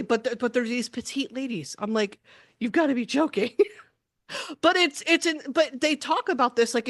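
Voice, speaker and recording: A woman's voice: fairly high pitch, no echo, clean studio sound. A woman hosting an interview podcast, asking rambling questions with enthusiasm and frequent laughter. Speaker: podcast host. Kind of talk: casual interview chat.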